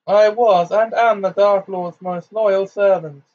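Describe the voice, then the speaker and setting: A man voicing a cruel, fanatical adult witch in an audiobook, shrill, imperious and frantic. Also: fairly even intonation, slow pace, darkish tone, dull audio. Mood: menacing, imperious.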